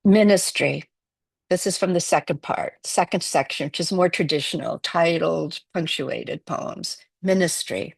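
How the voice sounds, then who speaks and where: Slow, soft-spoken woman poet from Wales. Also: lowish voice, creaky, slightly rough, no echo. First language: Welsh. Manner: slow, measured and reflective reading. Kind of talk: poetry reading.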